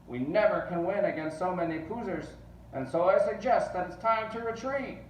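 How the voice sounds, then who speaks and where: A man's voice: sits high, moderate pace, slight background noise, pitched above the performer's own voice, close to an everyday voice. A man voicing a big, pompous, bellowing army general in a children's storybook read-aloud. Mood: blustering retreat. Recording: roomy, distant, dull sound.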